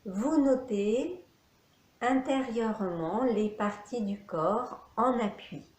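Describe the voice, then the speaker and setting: Very slow-speaking woman guiding meditation, echoey. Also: a little high, a little mellow. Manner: measured, instructional phrasing with pauses. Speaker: yoga nidra teacher. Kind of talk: guided meditation instruction. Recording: echoey room.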